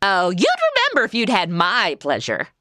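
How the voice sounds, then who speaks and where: Brief: actress as a snarky modern young woman; lively pitch, crisp dry recording. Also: moderate pace, no room sound. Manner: wisecracking and snarky. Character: sarcastic modern young woman lost in old radio. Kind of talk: comedy radio drama acting.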